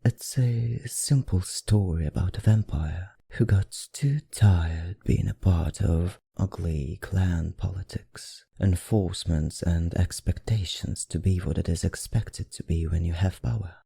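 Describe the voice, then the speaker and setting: A man performs a suave vampire librarian with a very dark, husky murmur and an affected accent. He takes his time, courtly and softly teasing, with a quiet predatory edge. It is an intimate ASMR roleplay, recorded close and dry. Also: measured pace, hardly any pauses, dry recording, exaggerated voice. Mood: hushed storytelling. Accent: affected, non-native accent. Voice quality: low, dark, gravelly murmur.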